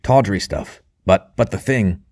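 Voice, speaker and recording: A man's voice: sits low, unhurried, a little mellow. A man playing an earnest, doting husband shaken by hope, intense and affectionate, in an audiobook. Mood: agitated. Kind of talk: audiobook character dialogue.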